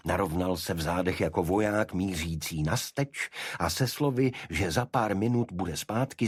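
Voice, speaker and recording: A man reads a detective audiobook in a very low, husky voice. His delivery is measured and dramatic, and the sound is dry and close. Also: dry recording. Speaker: audiobook narrator. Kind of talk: scripted detective story narration.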